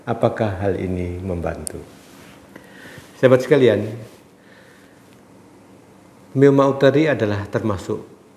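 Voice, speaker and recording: A man's voice: fairly low pitch, vocal fry. Nasal-sounding man with a cold. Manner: calm, measured, pauses with "eh". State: congested from a cold. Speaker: doctor answering viewer questions. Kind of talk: explanatory health talk. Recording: echoey room with faint background noise.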